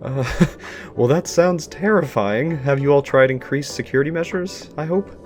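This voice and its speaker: A man's voice: a little high, normal speed. A man hosting a casual interview, speaking politely and a little hesitantly. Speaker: talk show host, interviewer. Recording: music under the voice.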